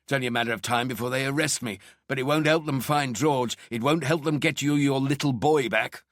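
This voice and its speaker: A man's voice: mid-range voice, brisk, a bit bright, no room sound, voice pushed up, close to an everyday voice. An embittered husband, performed by a male audiobook narrator, defensive and confrontational. He sneers as he argues, and the recording is dry and close. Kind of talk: audiobook character acting.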